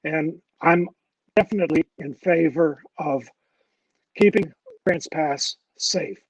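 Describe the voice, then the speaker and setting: An older man gives a candidate's speech at a public forum, halting and earnest, often stumbling and restarting his words. His voice sits a little high for a man. It sounds dry and set back from the microphone. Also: slightly rough, dry recording, recorded from a distance, rough recording. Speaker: mayoral candidate.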